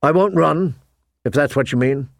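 A man's voice: fairly high pitch, normal speed, gravelly, no echo, studio quality. A man voicing an anguished, defiant young runaway swordsman in an audiobook, fervent and demanding. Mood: bitter.

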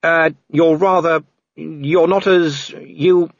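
Man playing a husky, blunt police commander. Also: a little high, fairly even intonation, takes it slowly, dry recording, lo-fi sound, voice pushed up. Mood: dazed, flustered. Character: gruff, flustered male police commander.

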